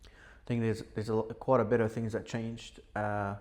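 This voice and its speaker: A young man who grew up in Syria, a podcast guest telling his life story in a relaxed, chatty way with frequent fillers. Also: fairly low pitch, normal speed. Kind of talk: casual podcast interview. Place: indoor podcast setup.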